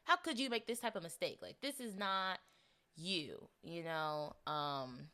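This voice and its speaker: A young woman in her twenties hosting a casual solo podcast, chatting and rambling with animated asides, a little congested. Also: fairly low pitch, a little slow. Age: 20s. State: slight congestion. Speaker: podcast host.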